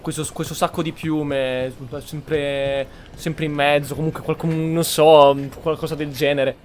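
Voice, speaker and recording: High-pitched male gamer commentating. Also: flat delivery, normal speed, a little mellow, a little hiss or hum. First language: Italian. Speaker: video game player and commentator. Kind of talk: casual spoken commentary over gameplay.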